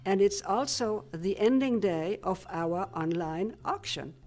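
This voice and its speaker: An older woman from Germany, a retired physicist, answering questions in a studio TV interview in a measured, plain-spoken way. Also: mid-range voice, animated, darkish tone. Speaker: guest, retired physicist turned painter.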